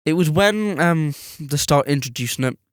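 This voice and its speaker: A man's voice: a little high, expressive, moderate pace, clean tone, no echo. Man chatting casually, dry podcast sound. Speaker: podcast panelist discussing films. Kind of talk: casual chat.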